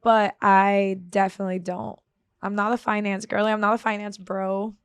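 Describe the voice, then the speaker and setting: A woman's voice: medium pitch, flat delivery, normal speed, clear, resonant tone, no room sound, studio quality. A woman in her twenties, born in Germany, chatting as a guest in an interview, laughing often and poking fun at herself. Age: 20s. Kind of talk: casual interview chat.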